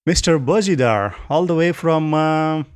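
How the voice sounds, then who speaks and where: A man hosting a podcast, loose and joking, with halting restarts. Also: on the high side, smooth. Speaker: podcast host. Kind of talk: casual podcast chat.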